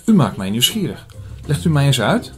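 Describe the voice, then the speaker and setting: Man acting a measured priest narrator, music behind. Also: medium pitch, sing-song, a bit bright. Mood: guarded, curious. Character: earnest male priest investigating an apocalyptic prophecy. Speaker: narrator and lead actor. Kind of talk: scripted audio drama, first-person narration. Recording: music under the voice.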